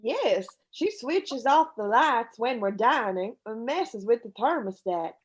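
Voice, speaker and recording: A complaining, materialistic housewife acted by a woman in a radio play. Her voice is fairly high and very lively in pitch, though she takes her time over the words. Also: takes it slowly, husky, exaggerated voice. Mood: annoyed. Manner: complaining, animated. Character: petulant, materialistic wife of a haunted house. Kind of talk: amateur radio play acting.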